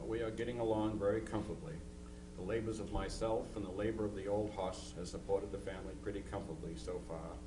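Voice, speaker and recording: A man playing a hardworking nineteenth-century farmer father, reading his letters in a halting, homely, steady manner. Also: lowish voice, lightly acted. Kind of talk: dramatized reading of historical letters. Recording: echoey, distant, noisy hall recording with hum.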